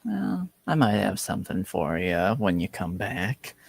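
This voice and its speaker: A man narrating as game master and voicing a tavern character, halting with many fillers. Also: low voice, very animated, gravelly, slightly rough, no echo.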